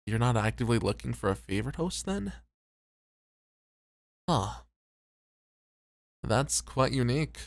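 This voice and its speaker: Man playing a gravelly, conscientious, weary host. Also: mid-range voice, sing-song, a little slow, long pauses, no room sound, clean studio sound. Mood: curious, relaxed. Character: conscientious, self-doubting male host, weary but devoted. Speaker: voice actor. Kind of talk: ASMR roleplay acting.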